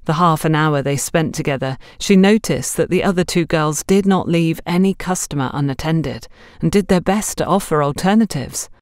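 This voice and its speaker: A woman's voice: sits low, normal speed, hardly any pauses, a little mellow, dry recording, clean studio sound. A woman reading a scripted story as an audiobook narrator.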